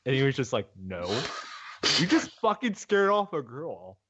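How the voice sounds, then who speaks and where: A young man co-hosting in casual banter, excitable and repeating himself as he tells teasing anecdotes. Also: mid-range voice, normal speed, highs cut off, heavy background noise.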